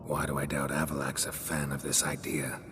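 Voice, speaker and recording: An actor playing a gruff, laconic male monster hunter with a gravelly, very deep voice in a game cutscene. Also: completely flat delivery, moderate pace, noisy background. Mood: dry. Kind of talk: video game cutscene acting.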